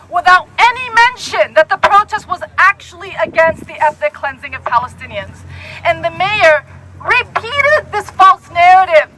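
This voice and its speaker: High, bright-voiced woman at a rally. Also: a little hiss or hum, low-quality recording. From Puerto Rico. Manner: firm, reading a prepared statement. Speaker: protester. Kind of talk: prepared speech at a rally. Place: outdoors on a city street.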